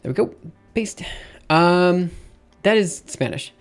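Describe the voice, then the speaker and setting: A man in his thirties talking casually on a live stream, thinking out loud with lots of fillers and restarts. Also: high voice, expressive, slow pace, clear, resonant tone. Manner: rambling, thinks aloud with many fillers and restarts.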